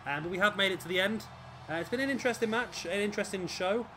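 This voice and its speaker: A man with a raspy, fading voice, commentating on a wrestling video game as the show's host. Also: sits high, fast talker. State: hoarse, voice fading. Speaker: host and commentator of a gaming series. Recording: clearly noisy background.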